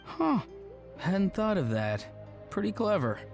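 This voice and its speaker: A man's voice: sits high, sing-song, takes it slowly, darkish tone, soft background music, full character voice. A man voicing a modest, earnest young tribesman in a video game, with an understated manner. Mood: thoughtful. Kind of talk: video game character acting.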